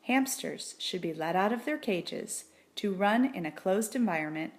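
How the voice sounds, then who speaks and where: A woman reading a children's book aloud in a deliberate, expressive storybook narration. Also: medium pitch.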